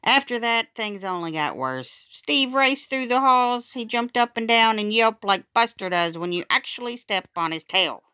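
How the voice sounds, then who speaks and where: Woman, children's read-aloud, dramatic storyteller, very animated intonation, bright, dry studio-like sound. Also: on the high side, moderate pace, few pauses, clear, resonant tone, no room sound. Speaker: storytime reader.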